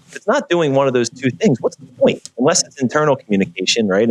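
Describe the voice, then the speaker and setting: A man with a fairly low voice, speaking with an energetic, emphatic style as he tells stories. The sound is dry and close. He is talking in a casual interview over a video call. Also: dry recording. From Philadelphia area. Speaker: guest, video producer.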